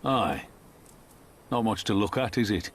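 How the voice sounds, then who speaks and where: A man's voice: on the low side, unhurried, slight background noise. A man playing a weary, plainspoken veteran soldier in a video game cutscene, speaking wistfully. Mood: wistful, reflective.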